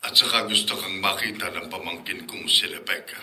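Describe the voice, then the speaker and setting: Older uncle: radio drama, lower pitch, piercingly bright, grave, measured. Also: male voice. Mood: grave, insistent. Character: serious older uncle making a grave request. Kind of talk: Filipino radio drama acting.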